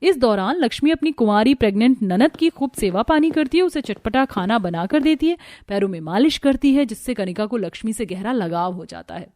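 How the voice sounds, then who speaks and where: High-voiced woman narrating a story. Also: expressive, brisk, hardly any pauses, a little mellow, no echo. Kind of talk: scripted cartoon story narration.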